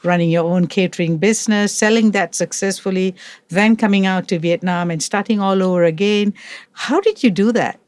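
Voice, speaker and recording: A man hosting a podcast interview, asking questions in a measured, deliberate way. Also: sits high, smooth, dry recording. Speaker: podcast host.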